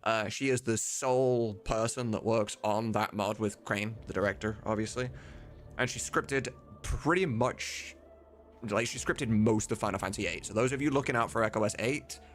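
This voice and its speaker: A man's voice: fairly low pitch, normal speed. A man chatting casually and informally as a livestream host, with some mild swearing. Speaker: livestreamer and host of a game voice-dubbing mod team.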